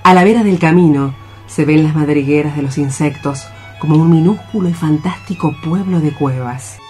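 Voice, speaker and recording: A narrator reading scripted literary stage descriptions for radio theatre in a measured, declamatory way. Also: mid-range voice, darkish tone. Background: music.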